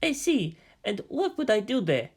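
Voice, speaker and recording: A man's voice: sits high, wildly swooping pitch, normal speed, slightly rough, pitched above the performer's own voice, heavily acted. A man voicing a shaken, deferential ambassador in a story reading, hesitant and mumbling. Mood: resigned.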